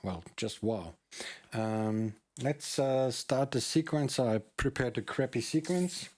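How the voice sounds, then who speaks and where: A man demoing a synthesizer in a casual tutorial, talking hesitantly with many pauses and fillers. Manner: hesitant, many ums and uhs. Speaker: music tutorial host. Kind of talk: casual hands-on demonstration. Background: loud synthesizer and bass sounds.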